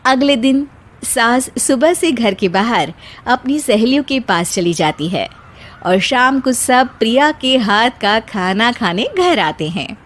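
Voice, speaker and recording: Slow-paced woman story narrator. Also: fairly high pitch, expressive, fairly bright tone. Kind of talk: scripted children's story narration.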